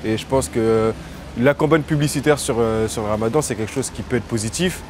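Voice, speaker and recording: A man's voice: mid-range voice, fairly quick. Hesitant man in street interview. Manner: hesitant, many fillers and restarts. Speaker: passer-by interviewed. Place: outdoors by a car park. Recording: outdoor street noise.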